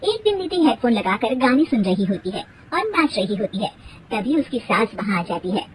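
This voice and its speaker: A woman's voice: fairly high pitch, big pitch swings, moderate pace, recorded from a distance. A woman storyteller reading a scripted cartoon family story as narrator.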